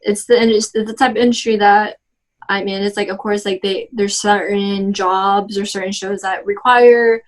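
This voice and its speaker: A woman's voice: mid-range voice, fairly even intonation, normal speed. A young woman in her twenties from Texas, answering oral history interview questions casually with many "like" and "um" fillers and restarts. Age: 20s.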